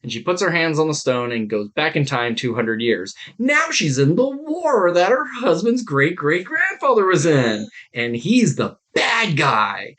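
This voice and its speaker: A man's voice: fairly high pitch, very animated, unhurried, hardly any pauses, a bit bright. Irreverent man chatting casually. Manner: irreverent, swearing, digressive storyteller. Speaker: podcast co-host. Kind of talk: casual chat.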